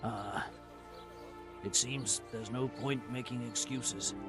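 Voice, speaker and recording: A man's voice: mid-range voice, steady tone, slow pace, over loud music, close to an everyday voice. An actor playing a cowardly, hesitant henchman in a video game, stammering and pleading. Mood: evasive.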